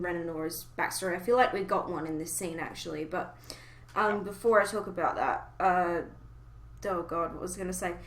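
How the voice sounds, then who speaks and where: A woman's voice: mid-range voice, fairly bright tone. Woman podcast host in an echoey room. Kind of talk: casual fan discussion of an animated series.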